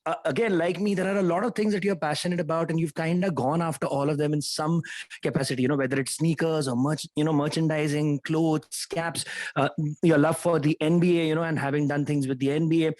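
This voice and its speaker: Fast-talking man hosting a chat. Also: on the high side, fairly even intonation, darkish tone, dry recording. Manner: quick, chatty, mixes in Hindi phrases. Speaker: host. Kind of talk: casual interview.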